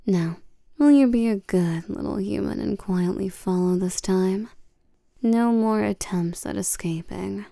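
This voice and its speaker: Slow, creaky, seductive vampire queen, acted by a woman. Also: mid-range voice, warm tone, no room sound, studio quality. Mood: amused, commanding. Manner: slow, teasing, domineering, with terms of endearment. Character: seductive, commanding vampire queen, condescending toward humans. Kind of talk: intimate roleplay audio acting.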